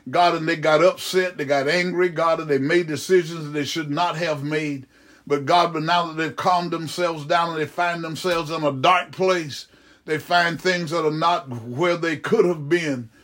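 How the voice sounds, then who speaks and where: A man's voice: fairly high pitch, steady tone, moderate pace, a bit bright. A man preaching in fervent, improvised Pentecostal prayer, pleading repetitively and calling out to God mid-phrase.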